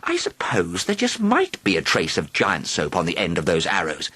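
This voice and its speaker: A man's voice: low voice, wildly swooping pitch, moderate pace, pushed and bright, breathy edge, no echo, heavily acted. A man voicing a fussy, nervous middle-aged lawyer in a storybook, flustered.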